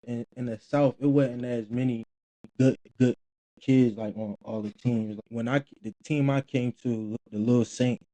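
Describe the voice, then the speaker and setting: A man's voice: medium pitch, steady tone, moderate pace, mellow, no echo, rough recording. A young man, a former college football player chatting loosely as an interview guest, full of slang and restarts. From Philadelphia, Pennsylvania. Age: 20s. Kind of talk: casual interview talk.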